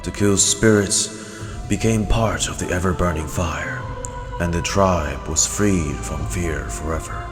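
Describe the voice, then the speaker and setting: A man performs a grave storyteller of old tragic legends, deep and very slow. The delivery is dramatic and portentous, in long reverb over clear music. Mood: solemn, dramatic.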